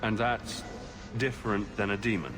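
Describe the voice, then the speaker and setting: A man playing a concerned adventurer in a video game, speaking in a measured, probing way. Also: fairly low pitch, music in the background, close to an everyday voice. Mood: questioning. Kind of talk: video game dialogue acting.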